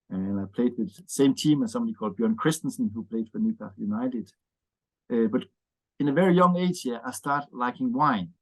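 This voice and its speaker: A man's voice: mid-range voice, low-quality recording. Danish-accented man chatting with many fillers. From Denmark. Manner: rambling, many fillers and "yeah" tags. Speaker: interview guest, wine bar owner. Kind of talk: casual interview chat.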